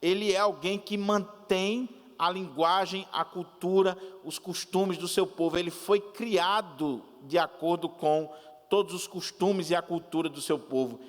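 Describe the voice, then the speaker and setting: A man preaching a sermon as a pastor, deliberate and repeating his points with explanatory asides. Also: high-pitched, long reverb tail.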